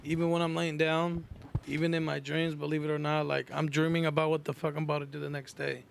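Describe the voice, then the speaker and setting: Gravelly, even-toned man talking casually. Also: a little high, quick pace, slight background noise. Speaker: podcast co-host. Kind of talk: casual group chat, slangy.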